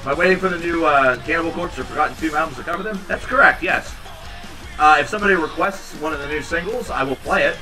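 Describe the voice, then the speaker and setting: A man's voice: quick pace, bright, forceful tone, far from the mic, soft background music. Tired man chatting on a livestream. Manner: rambling, low-key, tired. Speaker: livestream host reading chat. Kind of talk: casual chat with viewers. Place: small home room indoors. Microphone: headset.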